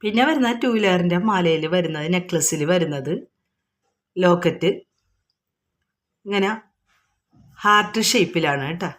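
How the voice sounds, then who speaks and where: A woman's voice: fairly low pitch, unhurried, long pauses. Kerala woman presenting products informally. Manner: unscripted, repetitive, explanatory. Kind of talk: informal product showcase, item by item with prices.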